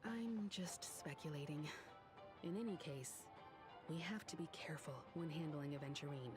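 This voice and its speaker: An actress voicing a composed, analytical woman in video game story dialogue, measured and articulate, over game music. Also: low voice, close to an everyday voice. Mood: composed, wary. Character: composed, analytical woman weighing a schemer's motives. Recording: game music playing under the voice.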